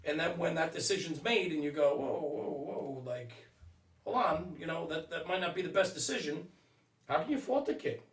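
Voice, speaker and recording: A man speaking in a halting, earnest way in a conversational podcast discussion, repeating himself as he goes. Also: fairly high pitch, lively intonation, a bit bright. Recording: roomy echo, distant microphone.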